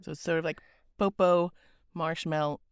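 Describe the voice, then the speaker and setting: Woman, podcast host, raspy hoarse voice, earnest and gentle, lower pitch, dry. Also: steady tone, moderate pace, dry recording. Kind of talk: scripted podcast intro and interview.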